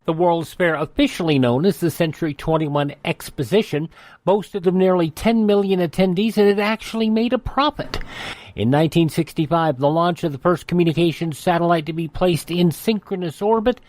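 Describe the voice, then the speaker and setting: A man's voice: a little high, normal speed, a little mellow, no room sound. Male radio host with a dry, close sound. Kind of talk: scripted narration of on-this-day history.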